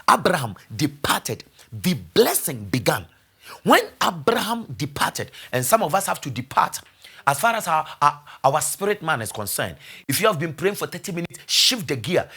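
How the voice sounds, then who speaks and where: A man preaching a sermon as pastor, repeating key phrases, asking the congregation rhetorical questions and building to loud exhortation. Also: on the high side, big pitch swings, normal speed, husky.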